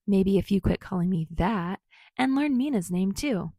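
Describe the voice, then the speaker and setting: A woman's voice: medium pitch, expressive, moderate pace, mellow, no echo, clean studio sound. A woman voicing a sunny, easygoing young man with a teasing, casual manner in an audiobook story. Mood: cheerful teasing.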